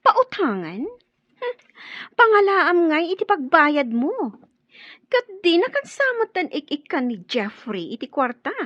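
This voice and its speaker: A woman acting as a scornful, haughty young daughter-in-law in a radio drama. She is sneering and high-voiced, with wildly swinging intonation, recorded dry. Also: sing-song, unhurried, dry recording, heavily acted. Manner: sneering, sharp-tongued. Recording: dry, dull lo-fi audio.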